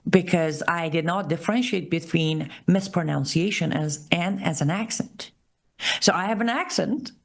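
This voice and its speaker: Woman, Iranian accent, political host, low voice, gravelly fry, emphatic, deliberate. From Iran. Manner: emphatic, deliberate, repeats key phrases slowly. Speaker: show host. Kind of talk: political commentary monologue.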